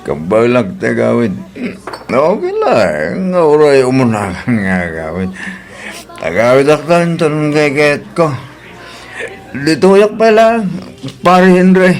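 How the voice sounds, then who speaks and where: A man's voice: a little high, very animated, takes it slowly, pauses often, heavily acted. A man with an Ilocano accent acting a troubled, weary husband in a radio drama. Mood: tipsy banter. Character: Oliver, troubled husband, weary and later tipsy.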